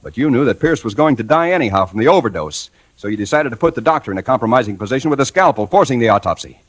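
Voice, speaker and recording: An actor playing a dogged, hardboiled newspaper reporter in a 1940s radio detective drama, with a husky voice and wry, clipped delivery. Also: male voice, medium pitch, lively intonation, very fast, dry recording, close to an everyday voice, poor audio. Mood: accusing, insistent. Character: dogged hardboiled male newspaper reporter narrating his case. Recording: old radio recording with faint music.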